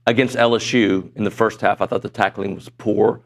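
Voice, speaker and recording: A middle-aged man hosting a sports talk show in a studio, chatty and self-deprecating, his voice a little hoarse. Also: lowish voice, steady tone, fairly quick. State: voice going hoarse. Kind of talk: casual sports talk chat. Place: broadcast studio.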